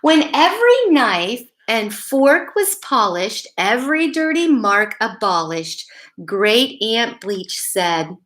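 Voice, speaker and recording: Woman, children's story-time host. Higher-pitched, very bright voice, unhurried read-aloud delivery with questions to the kids. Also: sing-song. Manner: addresses young listeners with simple questions.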